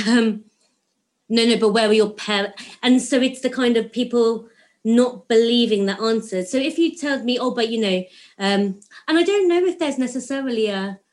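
A woman from London answers a question at a community discussion, thinking aloud and acting out snatches of dialogue. Her voice sits a little high. She speaks at an easy, conversational pace. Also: normal speed, darkish tone. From England, London.

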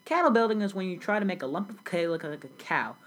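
A woman's voice: mid-range voice, animated, fast, close to an everyday voice. A teenage girl playing a grown immigrant recalling a childhood in South Sudan, telling her story earnestly in a scripted school interview. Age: young teen. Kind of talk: school project role-play interview.

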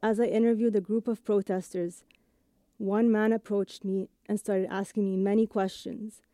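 A woman in her thirties from Egypt, with an accent blending British and American English with Egyptian and Italian touches, reading a measured, reflective first-person radio essay. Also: medium pitch, level tone, warm tone, no room sound. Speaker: audio journalist.

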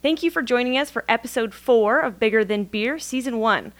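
A woman's voice: fairly high pitch, fairly quick, a bit bright. A woman hosting a podcast, reading a polite, formal welcome and then asking her guest questions. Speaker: podcast host. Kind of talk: scripted intro, then interviewing.

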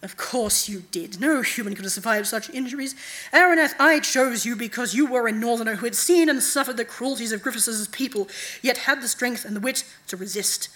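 A woman voicing a cold, manipulative female night god in a deliberate, commanding manner, as in a fantasy audiobook. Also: on the high side, a bit bright, lightly acted. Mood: calm, grave.

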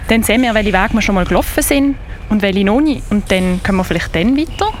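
A woman's voice: medium pitch, animated, fast, a little hiss or hum, lightly acted. A woman playing a keen, practical girl in a live radio play.

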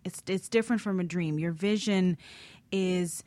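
A woman co-hosting a talk radio show, describing ideas in vivid, animated detail. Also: lowish voice, normal speed, no room sound. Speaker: co-host.

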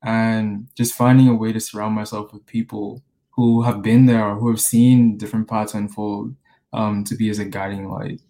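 A college senior in his twenties from the Bahamas, hosting a podcast interview in a relaxed way with lots of fillers. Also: on the low side, normal speed, poor audio. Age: 20s. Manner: relaxed, many fillers like you know and like.

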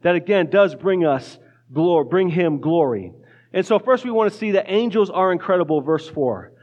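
A man's voice: high voice, lively intonation, moderate pace, muffled recording. A man preaching a casual sermon in a church, joking lightly and often restarting his words. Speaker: pastor. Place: church sanctuary.

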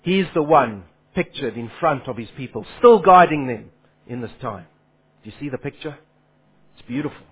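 A man's voice: mid-range voice, animated, moderate pace, breathy edge. A man preaching a Bible sermon, his Afrikaans, who poses questions to his listeners and then answers them. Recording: phone-like lo-fi sound.

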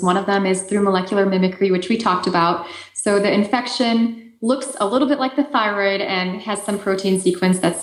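A woman's voice: mid-range voice, roomy sound. A woman with an Eastern European accent, a native Polish speaker, answering interview questions in an explanatory way with frequent fillers and restarts. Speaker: guest expert.

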